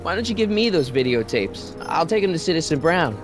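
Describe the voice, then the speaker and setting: A man's voice: on the high side, sing-song, fairly quick, over music. An actor playing an earnest, puzzled American teenage boy in a video game, speaking casually and hesitantly. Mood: earnest, probing. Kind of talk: animated video game dialogue acting.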